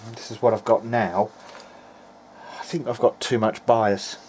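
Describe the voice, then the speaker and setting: Low-voiced man thinking aloud over noise. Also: level tone, a little slow, a little mellow. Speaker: hobbyist electronics repairer. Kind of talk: informal video diary narration while testing. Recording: clearly noisy amateur recording.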